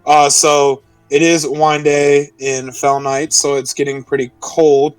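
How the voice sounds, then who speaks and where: A man's voice: fairly high pitch, level tone, slow, clear, resonant tone, no echo, far from the mic, poor audio. A man playing a tabletop roleplaying game, casually recapping the session and checking details with the others as he goes. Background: music.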